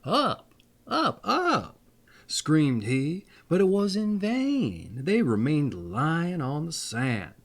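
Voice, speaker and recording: A man tells old fairy tales in a playful, folksy way. His delivery is slow and deliberate, with lively rises and falls in pitch. He sounds like a theatrical channel host reading aloud. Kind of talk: expressive fairy tale read-aloud with casual folksy asides.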